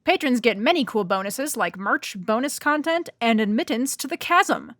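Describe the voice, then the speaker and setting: A woman with a slightly high voice reads a scripted podcast announcement. Her delivery is playfully tongue-in-cheek, and the sound is very dry, with no room around it. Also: animated, normal speed, fairly bright tone, no echo. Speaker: writer and director reading a podcast intro.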